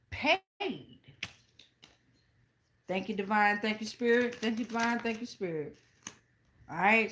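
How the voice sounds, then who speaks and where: American woman, higher voice, sharp bright tone, casual tarot reader, slangy, profane, rambling. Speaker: tarot reader, channel host. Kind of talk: casual live tarot reading.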